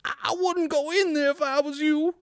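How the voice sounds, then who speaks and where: A woman voicing a jittery, fussy small-town mayor who speaks in a shrill, panicky way. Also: fairly even intonation, moderate pace, no echo, clean studio sound, natural voice. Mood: panicked.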